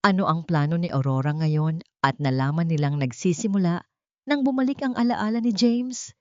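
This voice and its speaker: A woman's voice: lowish voice, moderate pace, darkish tone, no room sound, dull audio. A woman narrates a scripted dramatic story in a solemn, theatrical manner. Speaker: audiobook narrator.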